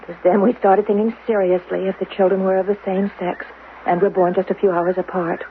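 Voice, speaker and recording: A woman's voice: medium pitch, level tone, fairly quick, close to an everyday voice. A narrowband, faintly noisy 1970s radio recording of an actress playing an anxious woman caught in a secret plot. She is tense and fretful, a despairing mother speaking with period radio drama intensity. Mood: anguished.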